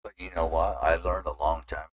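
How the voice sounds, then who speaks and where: A man's voice: deep voice, fairly even intonation, fairly quick. A man co-hosting a casual chat, agreeable and chiming in with short replies. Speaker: podcast co-host.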